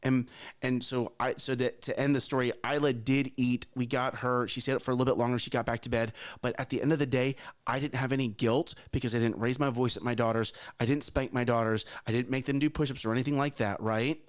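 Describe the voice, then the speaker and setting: A man's voice: mid-range voice, fairly even intonation, fast talker. A man in his thirties, co-hosting a morning show, tells a personal parenting story in an earnest, repetitive way and speaks straight to listeners. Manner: earnest, repeats himself, addresses listeners directly.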